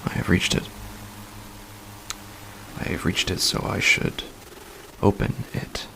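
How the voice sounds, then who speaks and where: A man playing a frightened, sleepless husband in a horror story, narrating haltingly in a hushed, breathy, gravelly voice that drops toward whispers. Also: a little hiss or hum, rough recording. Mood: uneasy. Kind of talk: scripted horror fiction narration, first person.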